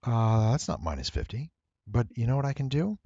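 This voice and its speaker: A man giving a casual, rambling software walkthrough, thinking aloud and playfully addressing his viewers. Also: medium pitch, sing-song, fairly quick, gravelly, no echo, lo-fi sound. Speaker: tutorial presenter and voice actor.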